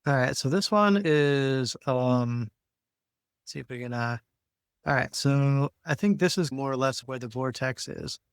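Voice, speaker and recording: A man explaining things casually, in an informal, halting way as he thinks aloud. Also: mid-range voice, smooth, no echo, studio quality. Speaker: podcast co-host.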